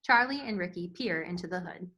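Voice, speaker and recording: A woman's voice: lowish voice, expressive, a little fast, pushed and bright. A woman reading screenplay stage directions as scripted narration in a table read.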